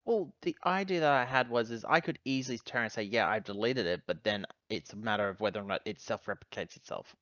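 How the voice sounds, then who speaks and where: A man's voice: fairly low pitch, quick pace, hardly any pauses, fairly bright tone, dry recording, dull audio. A man talking casually as a player in a tabletop roleplaying game.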